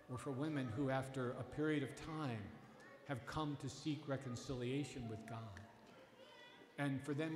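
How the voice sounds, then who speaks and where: A man's voice: mid-range voice, a little hiss or hum. A middle-aged man, a priest, preaching a Sunday homily from a lectern in a church, earnest and emphatic, often restarting his phrases. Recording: echoey church with long reverb.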